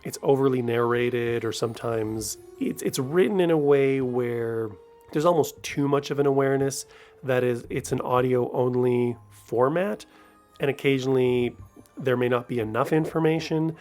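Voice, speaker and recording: A man's voice: mid-range voice, normal speed, a little mellow. Chatty male podcast host over faint music. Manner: chatty, rambling, conversational. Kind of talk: casual solo commentary.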